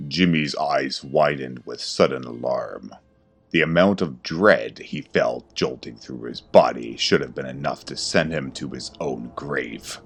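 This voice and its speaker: A man narrating a scripted horror story in a dramatic, ominous, deliberate way. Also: a bass voice, husky.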